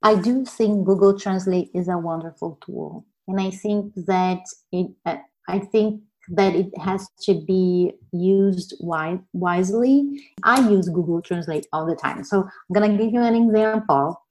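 A woman's voice: medium pitch, unhurried, few pauses, a little mellow, rough recording. A woman whose first language is Portuguese, co-hosting a casual discussion podcast and thinking aloud earnestly with repeated words. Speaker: podcast co-host.

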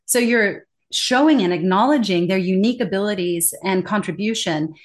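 Animated woman explaining her framework. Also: medium pitch, normal speed. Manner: animated, laughs often, emphatic. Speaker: interview guest, corporate communication trainer. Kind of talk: conversational interview.

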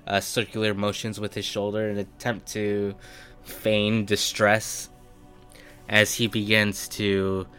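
Slow, low-voiced male game master. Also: monotone, fairly bright tone. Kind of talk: improvised tabletop game narration. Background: faint background music.

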